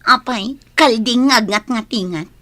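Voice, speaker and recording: A woman's voice: fairly high pitch, moderate pace, a bit bright, close to an everyday voice. A woman playing a stubborn, vain daughter in an audio drama, talking in a whiny, defiant way. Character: stubborn, vain daughter fussing over her looks.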